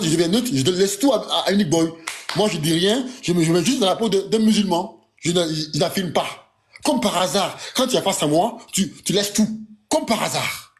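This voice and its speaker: A man arguing as a panelist in an online debate. His voice sits fairly high. He presses his point insistently, repeating phrases in quick bursts.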